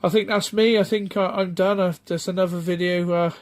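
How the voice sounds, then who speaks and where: A middle-aged man, chatting off the cuff in a rambling, halting way full of ums and restarts. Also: high-pitched, fairly even intonation. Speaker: retro game collector vlogger. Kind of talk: casual off-the-cuff vlog chat.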